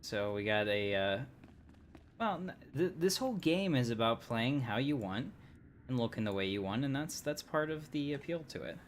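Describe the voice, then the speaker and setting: A man's voice: medium pitch, lively intonation, moderate pace, slight background noise. A man casually commenting on a game as he streams it, rambling and easygoing with plenty of fillers. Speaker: livestream gamer.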